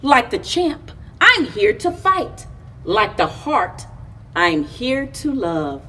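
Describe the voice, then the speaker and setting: Female storyteller, middle-aged, husky, very lively intonation, expressive read-aloud for kids, picked up by a distant camera mic. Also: fairly high pitch, a little hiss or hum. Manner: deliberate, animated phrasing with pauses between short lines. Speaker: library story time reader. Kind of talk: expressive picture-book read-aloud for children. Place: indoors, in front of a library chalkboard wall.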